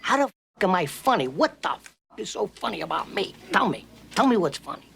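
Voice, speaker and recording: A touchy, volatile gangster, pitched a bit high, quietly menacing and pressing every question, with faint hiss behind the replayed clip. He sounds distant, as if the film plays from across the room. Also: sing-song, fairly quick, gravelly, full character voice.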